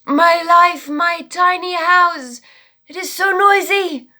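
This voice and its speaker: A woman's voice: slow, pushed and bright, full character voice. A woman doing a frantic pleading poor man. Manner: pleading, high and strained.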